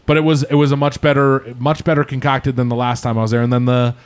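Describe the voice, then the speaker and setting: A man's voice: medium pitch, level tone, quick pace, smooth. A man in his mid-thirties with an American accent, co-hosting a podcast in a casual, comedic chat style. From Quincy, Massachusetts, United States. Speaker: podcast co-host.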